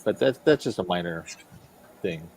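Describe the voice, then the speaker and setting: Lower-voiced man in tentative casual chat. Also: animated, normal speed, gravelly, a little mellow, a little hiss or hum, rough recording.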